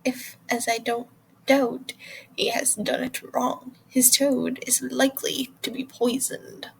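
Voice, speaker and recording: A woman playing a cold, spiteful male schoolteacher in an audio drama, speaking with breathy, deliberate disdain. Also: high voice, little pitch movement, slight background noise, exaggerated voice, low-quality recording. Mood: menacing. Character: cold sneering male potions teacher, spiteful. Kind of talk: fan audio drama acting.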